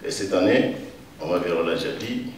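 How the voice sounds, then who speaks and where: A middle-aged man from Congo with a Congolese accent gives an informal tribute speech in a halting, hesitant way. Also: low voice. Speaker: event speaker giving a tribute. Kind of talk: informal thank-you speech. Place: event hall with faint room noise. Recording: echoey hall, distant mic, faint noise.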